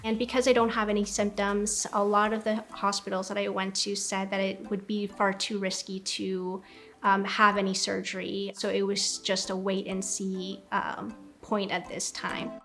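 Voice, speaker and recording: A young woman in her twenties, from Ecuador, tells her story in an earnest, reflective interview. Her voice sits at a middle pitch, with soft music under it. She pauses often with small ums. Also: completely flat delivery. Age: 20s. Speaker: graduate student. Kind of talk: documentary interview testimony.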